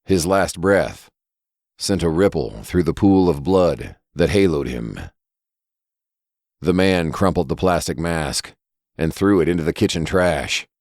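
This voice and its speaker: A man's voice: sits low, lively intonation, unhurried, a little mellow, dry recording, clean studio sound. A man reading a scripted horror story as its narrator.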